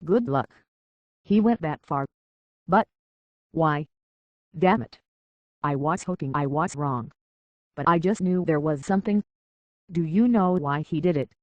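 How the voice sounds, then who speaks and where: An actress playing an earnest, conflicted young woman in a video game, speaking hesitantly and with a soft heart. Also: low voice, measured pace, long pauses, no echo, muffled recording. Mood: resolved, caring, then upset. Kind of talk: video game character dialogue.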